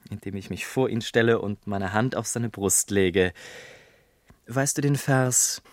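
Radio play casting: witty, pompous gentleman narrator, theatrical and rhetorical, unhurried, very dry close sound. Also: mid-range voice, no room sound. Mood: wry, smug. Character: witty, pompous gentleman narrator telling his life story.

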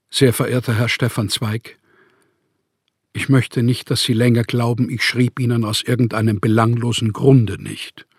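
Actor as despairing writer, husky and close. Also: male voice, mid-range voice, dry recording, lightly acted. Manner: formal, weighed down by misery. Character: despairing, formal male writer in his thirties. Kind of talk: radio drama letter reading.

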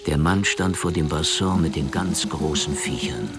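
A man's voice: a bass voice, normal speed, gravelly, over loud music. A man narrating a crime radio play in a scripted, literary storytelling style.

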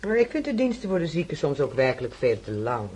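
A calm, soothing head nurse. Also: female voice, deep voice, fast talker, breathy edge, exaggerated voice. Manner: calm, soothing bedside talk. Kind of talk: radio play acting.

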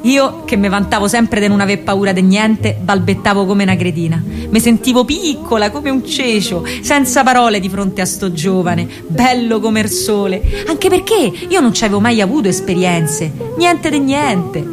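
Casting: actress to play young female partisan courier, Roman accent, colloquial and expressive, medium pitch, theatrical radio monologue with music underneath. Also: normal speed, hardly any pauses, natural voice. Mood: enamored, wistful. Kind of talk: theatrical radio monologue, dramatic first-person storytelling.

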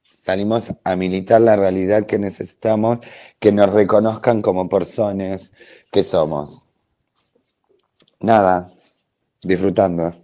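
A mellow, lowish male voice with an Argentine accent. He speaks slowly and colloquially, rambling through an informal announcement as an activist, with halting turns. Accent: Argentine Rioplatense. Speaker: LGBT activist. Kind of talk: casual spoken announcement.

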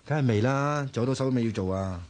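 A man acting in a radio drama as an exasperated young renovation worker with a soft heart, blunt and nagging in colloquial Hong Kong Cantonese. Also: medium pitch, quick pace, lightly acted. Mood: flustered.

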